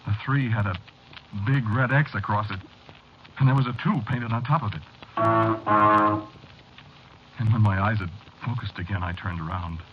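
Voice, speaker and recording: An American actor playing a haunted, rattled businessman who tells his eerie story haltingly and confidingly in a 1940s radio horror drama, on a muffled, noisy old recording. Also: lowish voice, faint music behind, close to an everyday voice. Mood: uneasy, confiding. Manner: halting, repeating himself, confiding. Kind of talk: 1940s radio acting, first-person horror narration.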